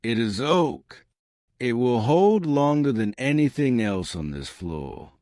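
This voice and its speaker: A man voicing a quiet, practical young woman who speaks briskly and steadily in an audiobook. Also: mid-range voice, big pitch swings, no room sound, studio quality. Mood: brisk, urgent. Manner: brisk, matter-of-fact. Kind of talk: audiobook character dialogue.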